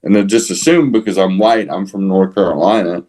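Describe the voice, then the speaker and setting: A man with a Texas accent talking casually as a podcast co-host, halting often to repeat and rephrase his words. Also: low-pitched, fairly even intonation, darkish tone. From San Antonio, Texas. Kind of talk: casual opinionated podcast talk.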